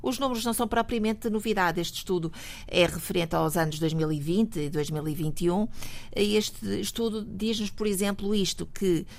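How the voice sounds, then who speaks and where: A woman professor with a European Portuguese accent, a guest on a radio discussion, speaking in a measured, hesitant way with many pauses and fillers. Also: medium pitch, fairly even intonation, gravelly. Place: radio studio.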